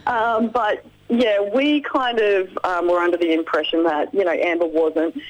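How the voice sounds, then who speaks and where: Deep-voiced giggly woman. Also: fairly even intonation, normal speed, poor audio. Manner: chatty, giggly, many ums. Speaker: reality show contestant guest. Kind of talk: radio interview chat.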